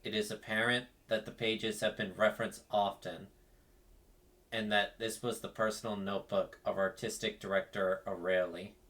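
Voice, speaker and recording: A man's voice: lowish voice, flat delivery, moderate pace, fairly bright tone. A man reading game text aloud and commenting on it as a streamer.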